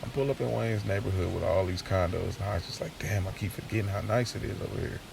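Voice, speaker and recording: A man playing a streetwise young man just out of jail, telling his story conversationally in casual slang, with a gravelly voice, in an audio drama. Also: low voice, fast talker, distant mic, noisy background. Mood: excited, puzzled. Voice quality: gravelly, creaky.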